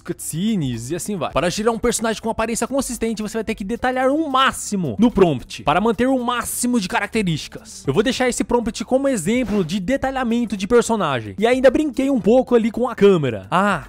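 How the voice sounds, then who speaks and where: A man with a Brazilian accent narrating a software tutorial as host, with casual asides. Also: sits high.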